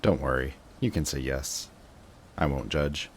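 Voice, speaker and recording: A man's voice: extremely low pitch, unhurried, slight background noise. A man playing a sarcastic, jaded supervillain in a scripted roleplay audio drama, with a dry, taunting way of talking to an old rival. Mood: sarcastic, dismissive.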